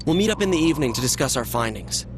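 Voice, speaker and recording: A man's voice: medium pitch, expressive, fast, noisy background, close to an everyday voice. An actor playing an earnest, determined teenage boy in a video game, casual and forthright in delivery. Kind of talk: English game voice acting.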